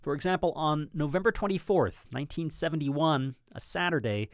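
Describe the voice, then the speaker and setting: A man hosting and narrating a podcast in a measured, slightly wry scripted style. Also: fairly high pitch, lively intonation, dry recording, clean studio sound.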